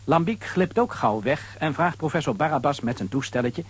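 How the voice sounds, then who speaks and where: A man's voice: fairly high pitch, lively intonation, moderate pace, slightly rough. A man narrating a children's radio play as a scripted storyteller.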